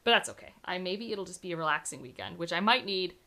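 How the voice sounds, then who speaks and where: A woman's voice: fairly low pitch, lively intonation, quick pace, bright, forceful tone. A woman book vlogger chatting casually to camera, enthusiastic and full of "like" fillers. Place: home room surrounded by books.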